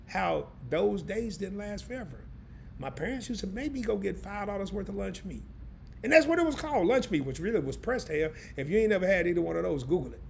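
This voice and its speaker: A hoarse man hosting a live stream, chatting loosely and in slang straight to his viewers. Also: high-pitched, expressive, brisk, a little hiss or hum. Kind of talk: casual live stream chat.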